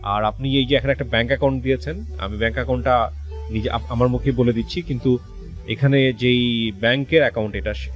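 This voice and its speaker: A man with a Bangladeshi accent hosting a radio show, speaking in a measured way with hesitant pauses as he interviews and addresses listeners. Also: medium pitch, fairly even intonation, lo-fi sound. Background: music under the voice.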